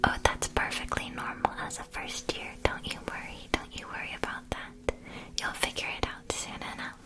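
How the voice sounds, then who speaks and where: A woman playing a gentle, reassuring witch shopkeeper in an ASMR roleplay, whispering in a soft, soothing way. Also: moderate pace, close to an everyday voice. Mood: gentle, informative.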